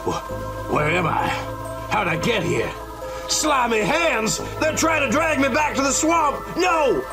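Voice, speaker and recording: A man's voice: extremely high pitch, sing-song, takes it slowly, over music, pitched above the performer's own voice, full character voice. A man voicing a terrified, haunted gangster on the run in a horror comic dramatization, trembling and prone to frantic cries. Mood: panicked.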